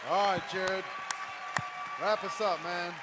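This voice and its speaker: A man emcees with brief upbeat handoffs, speaking slowly in a high voice. A loud crowd and heavy noise surround him. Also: fairly even intonation. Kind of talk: brief stage announcements.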